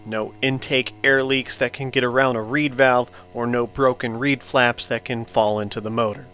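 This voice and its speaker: A man narrating a scripted product review as a vlogger, in a measured, matter-of-fact way. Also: mid-range voice, fairly even intonation, sharp tone, clean tone. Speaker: vlogger, motorized bike reviewer.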